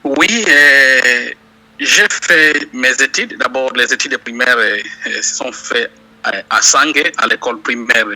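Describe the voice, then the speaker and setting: A man answers questions at an unhurried, halting pace, filling gaps with drawn-out hesitations. His voice is very bright and sharp, in a middling register. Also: mid-range voice, poor audio. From DR Congo, South Kivu. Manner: slow, hesitant, many fillers. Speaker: interview guest, university lecturer. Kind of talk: interview answers.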